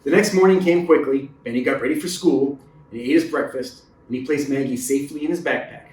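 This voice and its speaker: A man's voice: mid-range voice, fairly quick, a little mellow. Man reading a children's story, echoey and distant. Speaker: storybook reader. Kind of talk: read-aloud children's story narration. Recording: roomy echo, distant mic.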